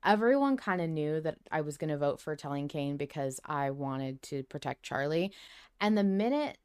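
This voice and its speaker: A woman's voice: low-pitched, lively intonation, moderate pace, a little mellow, clean tone. A woman co-hosting a podcast in casual, opinionated chat, blunt and emphatic, swearing freely. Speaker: podcast co-host discussing a fiction series.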